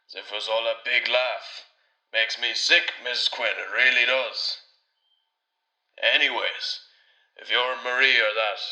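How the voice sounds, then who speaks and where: Guy playing awkward irish handyman leaving a voicemail, hesitant n roundabout, very sharp lowish voice, echoey room. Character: neighbourly Irish tradesman, awkwardly breaking worrying news. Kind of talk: radio comedy-drama voicemail monologue.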